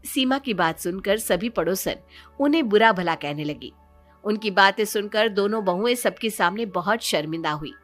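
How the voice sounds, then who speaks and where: A woman's voice: mid-range voice, animated, moderate pace. A woman narrating a scripted moral story as a storyteller. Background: background music.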